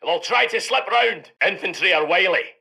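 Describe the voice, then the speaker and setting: Gruff, combative Scottish tank commander for a war game; high-pitched for a male, gravelly and intense. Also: expressive, moderate pace, bright, forceful tone, exaggerated voice. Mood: steady, determined. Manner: barking, emphatic military commands. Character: gruff, aggressive Second World War British tank commander. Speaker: game voice actor. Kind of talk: video game unit voice lines.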